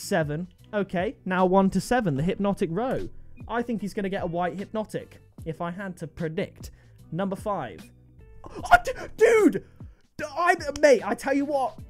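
A man, commenting on game item trades at his desk, excitable and jumping to high-pitched disbelief. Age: 20s. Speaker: video game trading streamer. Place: gaming room indoors.

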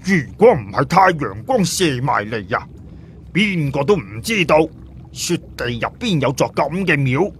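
A man's voice: mid-range voice, very animated, normal speed, slight background noise. A man voicing a brash, boastful tough guy for an audiobook, blunt and slangy with plenty of exclamation. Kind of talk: audiobook character voice.

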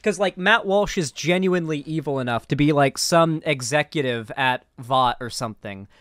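A man's voice: on the high side, moderate pace, a bit bright, no echo. A young man giving casual, opinionated reaction commentary as a streamer, speaking into a close mic indoors. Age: young adult.

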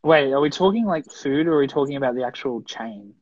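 Husky Australian man on a muffled call. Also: mid-range voice, animated, fast talker, darkish tone, dry recording. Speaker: co-host. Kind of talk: casual video-call chat. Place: room with posters on the wall. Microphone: webcam on a video call. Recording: dull, muffled video-call audio.

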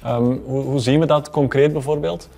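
Flemish male moderator, thirties, mid-pitched, talking through explanations at a table. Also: steady tone, normal speed, creaky, warm tone, faint music behind. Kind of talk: explanatory panel discussion. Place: indoor café-style room, at a table.

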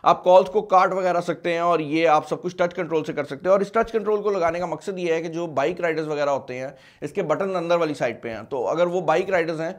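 A man's voice: a little high, quick pace, few pauses. A man in his twenties with a Pakistani accent, giving a casual, chatty and emphatic product review with English phrases mixed in. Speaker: tech reviewer and video host. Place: small indoor studio at a desk.

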